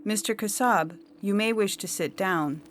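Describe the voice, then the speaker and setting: A woman voicing a composed female judge who speaks in a measured, formal, coolly patronizing way in an audio story. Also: mid-range voice, slight background noise, close to an everyday voice. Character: composed, condescending female judge of a virtual court. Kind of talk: science fiction audio story dialogue. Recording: music bed under the voice.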